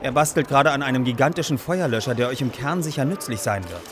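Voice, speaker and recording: A man's voice: medium pitch, fast talker, over music, close to an everyday voice. A man playing a matter-of-fact marshal handing out quests in a fantasy parody radio play, formal and instructive. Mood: official, calm.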